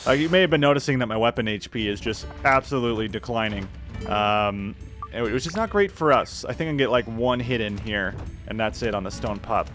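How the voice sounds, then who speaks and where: A man's voice: on the low side, brisk. Chatty man rambling over background music. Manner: chatty, rambling, self-deprecating. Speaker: gaming video host. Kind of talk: casual video game playthrough commentary.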